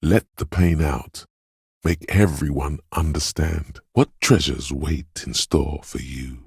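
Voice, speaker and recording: A man playing a detective's ominous, dreamy intuition in a video game, speaking in a hushed, portentous, theatrical way with dramatic pauses and a gravelly, husky voice. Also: a bass voice, fairly even intonation, dry recording, clean studio sound, heavily acted. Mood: urging, intense. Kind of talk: video game voice acting, second-person inner monologue.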